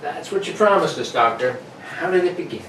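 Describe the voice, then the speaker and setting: In an echoey, noisy room, a man plays a genial vintage radio announcer. His voice is on the higher side, polite and inquisitive, in the formal style of an old broadcast host. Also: expressive, fairly quick, a bit bright. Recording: roomy, distant mic, noisy home recording.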